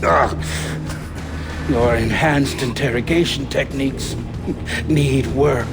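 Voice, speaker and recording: An actor playing a smug, defiant male villain under interrogation, taunting and condescending in a gravelly voice. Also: mid-range voice, steady tone, takes it slowly, close to an everyday voice. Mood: pained, contemptuous. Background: music.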